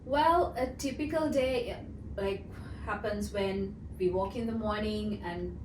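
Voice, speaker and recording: A woman's voice: medium pitch, takes it slowly, a little mellow. A young woman from Sri Lanka, a project coordinator, chatting casually in an interview with lots of "like" and "um". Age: young adult. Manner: chatty, uses many fillers like "like" and "um". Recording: echoey room, mic at a distance, noisy.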